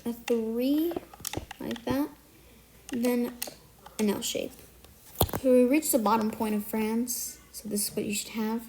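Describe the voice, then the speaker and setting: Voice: mid-range voice, fairly even intonation, slow, pauses often, noisy background. A tutorial maker who is a bit sick today talks step by step through a building tutorial, counting out blocks and correcting small slips along the way. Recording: music playing under the voice.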